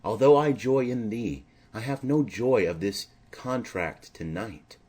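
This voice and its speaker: A man voicing a smitten, anxious young maiden in earnest, measured Shakespearean verse, as in an audio drama. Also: fairly low pitch, expressive, a little mellow, breathy edge. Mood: pleading, cautious.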